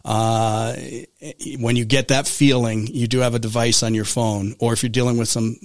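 Male talk host, voice going hoarse, rambling, close dry studio sound; casual political chat. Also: medium pitch, little pitch movement, moderate pace, no room sound. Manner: rambling, many restarts.

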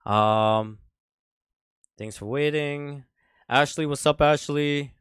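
A man's voice: fairly high pitch, takes it slowly, fairly bright tone, smooth, dry recording, clean studio sound. A man hosting a casual podcast. He chats loosely, laughs often and restarts his sentences. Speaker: podcast host. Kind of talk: casual chat.

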